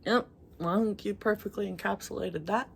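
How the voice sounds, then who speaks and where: A woman's voice: mid-range voice, a little fast, vocal fry, slight background noise. A woman from rural Texas co-hosting a casual album review, blunt and profane, laughing often. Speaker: music review co-host.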